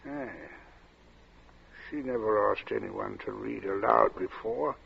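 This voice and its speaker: An actor playing a brooding, evasive man with a gravelly voice and halting, uneasy delivery, in 1940s radio drama style. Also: fairly low pitch, heavily acted. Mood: edgy, defensive. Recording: muffled, noisy vintage radio recording.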